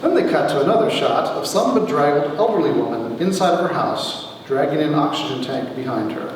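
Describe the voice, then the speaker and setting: A man giving a wry dramatic reading of his own humorous story to an audience, with theatrical pauses. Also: on the high side, lively intonation. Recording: echoey hall from far off, faint noise.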